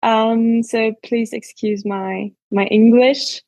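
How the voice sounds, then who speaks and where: Slow-paced Austrian woman, close dry sound. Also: fairly high pitch, fairly even intonation, dark tone, no room sound, dull audio. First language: German. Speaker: podcast guest, sports scientist. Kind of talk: careful explanatory interview talk.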